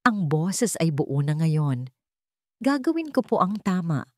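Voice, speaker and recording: A Filipino woman voicing a resolute, quietly steely wronged wife in a story, speaking deliberately and solemnly. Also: sits low, big pitch swings, no echo, studio quality, full character voice. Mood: solemn resolve.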